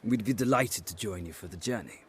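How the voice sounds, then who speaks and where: A man's voice: fairly low pitch, fairly quick, heavy background noise, close to an everyday voice. An actor playing a refined, haughty nobleman who loves horses, speaking in florid, courtly phrases in a video game. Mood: courtly, appreciative. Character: refined, haughty aristocratic horse connoisseur, playful and eager. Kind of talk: video game character acting.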